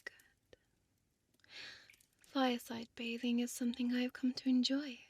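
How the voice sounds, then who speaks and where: An elegant, predatory vampire woman, played by a female actor, whispers seductively in a breathy voice pitched a little high. She speaks very slowly with teasing, possessive formality, close and dry on the microphone like ASMR roleplay. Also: little pitch movement, no room sound. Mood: teasing, vain. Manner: slow, deliberate, hushed, teasing formal speech. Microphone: close dry studio microphone.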